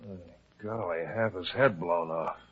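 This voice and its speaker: A man playing a slow-witted, easily astonished cop sidekick in an old-time radio drama, plain-spoken and full of puzzlement. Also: deep voice, husky. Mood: baffled. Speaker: supporting actor. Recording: dull, lo-fi old radio recording.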